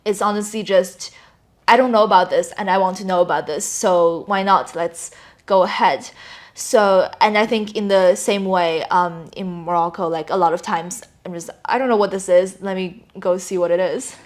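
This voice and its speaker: A young woman from China, Chinese her first language, answering interview questions in a relaxed, thoughtful way with frequent fillers. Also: on the low side, normal speed. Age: young adult. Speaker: student guest.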